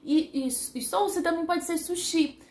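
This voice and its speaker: A high-voiced woman talks through a language lesson in a loose, rambling way. She often stops to correct herself and laughs at her own slips. Her voice rings around a roomy, echoey space. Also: measured pace, a little mellow. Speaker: language teacher on her channel. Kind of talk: casual explanatory lesson to camera. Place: living in Taiwan.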